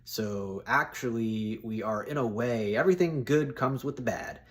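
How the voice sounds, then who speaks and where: A man's voice: medium pitch, normal speed. A man in his thirties chatting casually and bluntly to his viewers, with informal, buddy-like phrasing. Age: 30s. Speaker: solo video host giving personal opinions.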